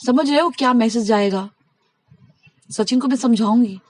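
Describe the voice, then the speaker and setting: Insistent woman, higher voice, casual phone chat. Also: normal speed, a little mellow, no room sound. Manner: insistent, advising, recounts conversations by acting out quotes. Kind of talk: casual private phone conversation.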